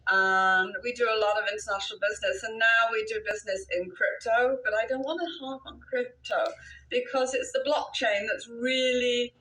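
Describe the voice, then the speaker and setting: A woman's voice: fairly high pitch, steady tone, moderate pace, pushed and bright, roomy sound, poor audio. An older woman from Wales with an English-sounding British accent, a real estate broker answering interview questions in a self-assured, chatty way and laughing often.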